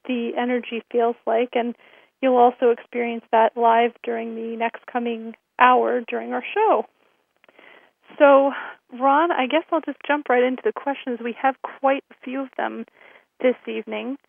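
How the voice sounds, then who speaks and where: A woman hosting a radio show, hoarse from a cold, giving friendly announcements with many fillers. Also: fairly high pitch, dry recording. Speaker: host. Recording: thin phone-line sound.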